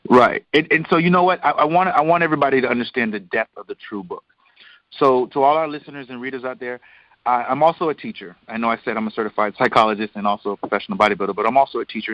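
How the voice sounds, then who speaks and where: A man's voice: medium pitch, fairly bright tone, no echo. A man interviewing conversationally over the phone, emphatic and repeating phrases as he builds his point. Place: phone call. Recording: dull lo-fi phone line.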